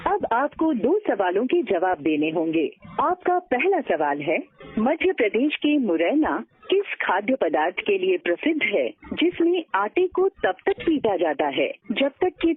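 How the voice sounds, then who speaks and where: A woman reading scripted automated phone menu prompts in a formal, instructional manner over a phone line. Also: a little high. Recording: tinny band-limited phone line recording.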